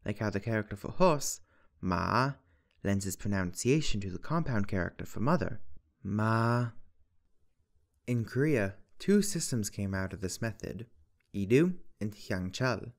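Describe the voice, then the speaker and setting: A man giving scripted, measured narration for an educational video, explaining step by step with the odd dry aside. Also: on the low side. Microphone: voice-over.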